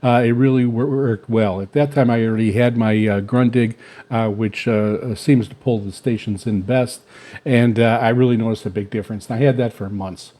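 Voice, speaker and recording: A man with a mellow, relaxed voice at an average pitch talks casually through a gear review. He speaks without a script, in a halting, meandering way. Hesitations and fillers break up nearly every phrase. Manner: halting, rambling, hesitant with very frequent filler pauses. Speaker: shortwave radio hobbyist reviewing antennas. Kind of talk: unscripted casual product talk.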